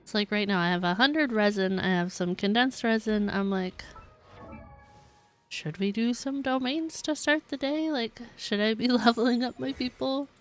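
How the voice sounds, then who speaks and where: A woman's voice: a little high, normal speed. A woman streaming a video game and chatting casually with viewers in a rambling, giggly, filler-heavy way. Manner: rambling, giggly, hedging with frequent "like". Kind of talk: casual live-stream chat while playing a game. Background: game music.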